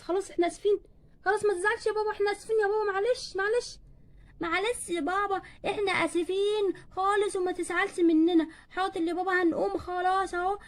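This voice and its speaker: A woman voicing a frightened, clingy little girl in doll play, whiny and pleading, with an Egyptian accent. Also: very high-pitched. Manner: whiny, pleading, repeats herself.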